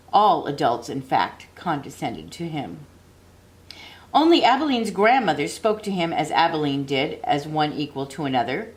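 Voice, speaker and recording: A woman with a slightly low voice reads a children's storybook aloud. Her narration is expressive and deliberate, with measured phrases and dramatic emphasis. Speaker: audiobook reader.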